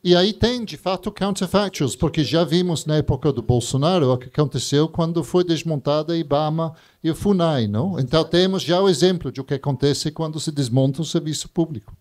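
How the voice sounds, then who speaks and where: A man moderating a live panel discussion, speaking in a brisk, matter-of-fact way. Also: fairly high pitch, darkish tone.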